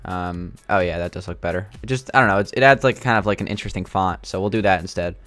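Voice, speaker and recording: Young male gaming host, low voice, a touch raspy, hesitant, unhurried chatter. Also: no room sound, faint music behind. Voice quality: slightly raspy from yelling. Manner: thinking aloud, hesitant, many fillers. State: sore throat. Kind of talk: casual gameplay commentary.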